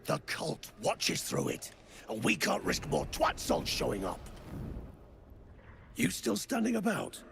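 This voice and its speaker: A man playing a gruff, greedy, scheming grey dwarf mercenary in a video game, with a gravelly, coarse and menacing delivery. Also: sits high, huge pitch swings, unhurried, long pauses, background noise, exaggerated voice. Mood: curt. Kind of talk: video game character acting.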